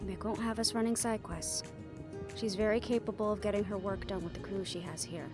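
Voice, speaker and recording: A woman's voice: medium pitch, fairly even intonation, fairly quick, close to an everyday voice. A woman playing a witty, confident young female adventurer in a playful, conversational audio roleplay. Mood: bantering. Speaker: amateur voice actor. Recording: music behind the voice.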